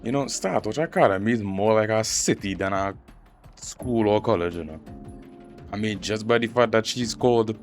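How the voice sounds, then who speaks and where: A man's voice: on the low side, normal speed. A man giving casual gaming commentary, musing aloud in slangy speech as he reacts. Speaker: gaming streamer. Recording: faint game music behind. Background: game music.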